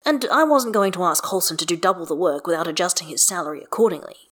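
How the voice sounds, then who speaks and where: A woman's voice: fairly low pitch, quick pace, close to an everyday voice. A woman voicing an earnest, exasperated man in a story, speaking in formal, precise, emphatic sentences.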